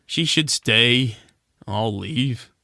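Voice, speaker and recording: A man's voice: medium pitch, extremely slow, creaky, pushed and bright, no echo, studio quality, exaggerated voice. A man playing a hard-boiled penguin private eye, narrating a noir radio drama in wisecracking slang. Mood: world-weary.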